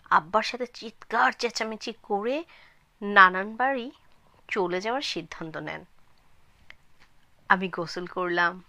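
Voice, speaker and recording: A woman reading a scripted story in a measured, phrase-by-phrase way. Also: lowish voice, sharp tone, no echo. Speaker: channel storyteller.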